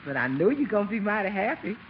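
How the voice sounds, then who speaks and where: An actress playing a jolly, gossipy, teasing household cook in an exuberant 1940s radio sitcom style. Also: female voice, medium pitch, big pitch swings, fast, natural voice. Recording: dull, hissy 1940s radio recording.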